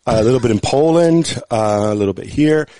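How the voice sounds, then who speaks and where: A man's voice: mid-range voice, expressive, dark tone, no room sound. A man born in Italy with an American accent, a guest journalist speaking in a wry, casual way. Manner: wry, self-deprecating, halting restarts. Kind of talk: casual conversation.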